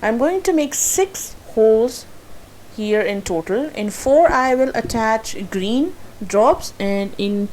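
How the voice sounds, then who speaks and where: A woman whose first language is Urdu talks through a craft tutorial in a slow, unhurried voice-over. Her tone is soft and mellow, and she sounds a little nervous. She pauses often on "uh" and "um" and corrects herself as she goes. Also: a little high, expressive. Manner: hesitant, with frequent fillers and self-corrections.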